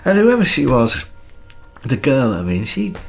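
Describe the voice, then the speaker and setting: A man acting a drunk, anxious husband in a radio thriller, slurred and gravelly, rambling through halting questions and suspicions. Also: mid-range voice, wildly swooping pitch, soft background music, heavily acted. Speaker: actor in an audio drama.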